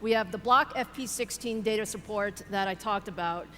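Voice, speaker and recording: A woman's voice: mid-range voice, moderate pace, fairly bright tone. A woman giving a polished, upbeat keynote presentation, introducing products and partners on stage. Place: large keynote hall. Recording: large hall reverb with faint noise.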